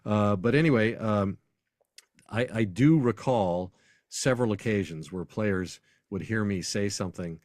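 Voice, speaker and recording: A man's voice: lowish voice, a little slow, darkish tone, no echo, clean studio sound. An American man speaking as an announcer guest in a casual sports interview, telling anecdotes in a conversational way.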